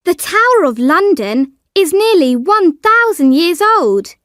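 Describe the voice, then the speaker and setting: A woman's voice: extremely high pitch, very animated, slow, a bit bright, no echo, studio quality, heavily acted. A woman voicing a friendly girl from England in a scripted language course, enunciating with care. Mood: friendly, informative. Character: friendly young English girl introducing her capital.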